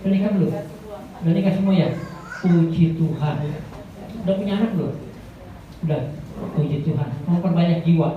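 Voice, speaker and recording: A man giving a guest sermon to a church congregation in a rambling way, often calling out to the listeners and growing louder as he preaches. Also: brisk, long pauses. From Indonesia. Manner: rambling, addresses the congregation as "saudara"; grows louder and higher when preaching. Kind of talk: Pentecostal sermon. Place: church hall. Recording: echoey church hall, tinny and far off.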